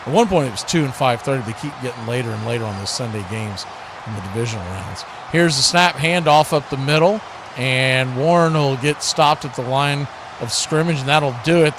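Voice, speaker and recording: A man hosting a live football watch party, giving play-by-play in a folksy, conversational way. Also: mid-range voice. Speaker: play-by-play host. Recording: steady background noise.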